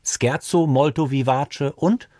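A man's voice: medium pitch, slow, darkish tone, no echo, clean studio sound. A man presenting a music programme on the radio, reading scripted narration.

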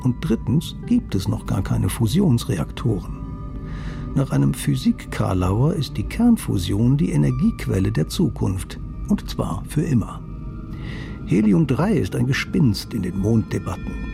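A man's voice: medium pitch, sing-song, measured pace, vocal fry. A man delivering a scripted, dryly ironic radio science commentary. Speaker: science columnist. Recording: music bed under voice.

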